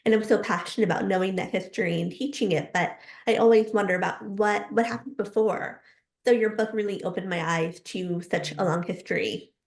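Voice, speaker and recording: A woman's voice: medium pitch, a little fast. Enthusiastic woman host in an echoey room. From New Jersey, United States. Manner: enthusiastic, personal. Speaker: host and interviewer. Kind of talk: casual interview questions.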